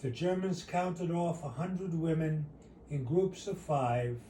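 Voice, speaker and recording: A man reading his own short story aloud as its author, in a measured, deliberate storytelling manner. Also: a little high, dark tone. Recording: roomy, distant mic, faint noise.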